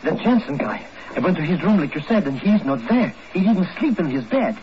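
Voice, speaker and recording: An actor playing a nervous, whining, cowardly sidekick in a 1950s radio drama, stammering and pleading in a comically fretful way. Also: male voice, high-pitched, big pitch swings, fairly quick. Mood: agitated. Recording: very noisy, muffled old radio recording.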